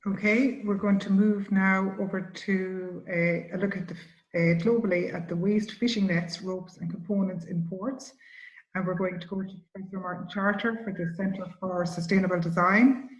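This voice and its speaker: A woman moderating a webinar over a video call, briskly introducing and handing over to speakers. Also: mid-range voice, steady tone. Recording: roomy, dull lo-fi call audio.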